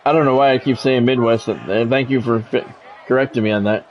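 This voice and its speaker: A man's voice: medium pitch, steady tone, a little fast, a little mellow, dull audio, a little hiss or hum. Man casually commentating his game stream. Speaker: game livestreamer. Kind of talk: casual gameplay commentary, chatting with viewers.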